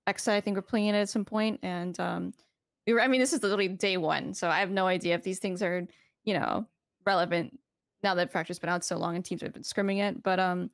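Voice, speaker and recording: A woman's voice: on the low side, quick pace, creaky, no room sound, studio quality. Chatty woman player on a headset call. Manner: lively, chatty, opinionated. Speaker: pro esports player. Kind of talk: video call interview.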